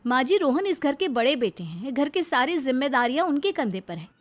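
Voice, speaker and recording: A woman's voice: high voice, sing-song, fairly quick, pitched above the performer's own voice. A woman voicing a suspicious, indignant young daughter-in-law in a storybook drama, insistent and complaining. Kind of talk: acted storybook dialogue.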